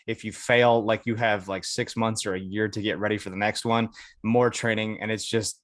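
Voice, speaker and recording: A man's voice: on the low side, flat delivery, moderate pace, a bit bright, no room sound. An American man casually telling stories as a podcast guest, speaking informally with some swearing and acted-out bits of dialogue. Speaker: podcast guest, Air Force veteran. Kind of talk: casual storytelling.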